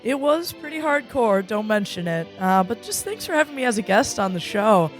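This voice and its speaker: Woman as a deadpan sweetheart over music. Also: on the high side, normal speed. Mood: wry, game. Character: sardonic young woman podcast guest, deadpan sweetheart. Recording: music behind the voice.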